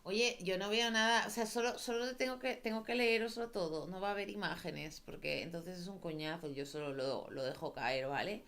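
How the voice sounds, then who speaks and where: A woman's voice: lowish voice, fast talker, few pauses, fairly bright tone. A woman with a Spanish accent chatting informally and self-deprecatingly as she hosts a game livestream. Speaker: livestream host. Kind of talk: casual chat while streaming a game.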